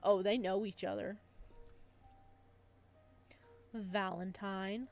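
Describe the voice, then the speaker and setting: A woman narrates a visual novel for an audience as a let's player. Her reading is expressive, in a mid-range voice, with the odd stumble over a word. Faint game music plays underneath. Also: medium pitch, normal speed. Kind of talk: reading visual novel text aloud with reactions.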